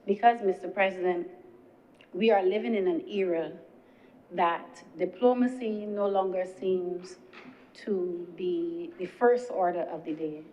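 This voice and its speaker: A woman senator delivering a formal, deliberate speech in the chamber. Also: on the low side, dark tone. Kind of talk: parliamentary speech. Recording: dull lo-fi chamber broadcast.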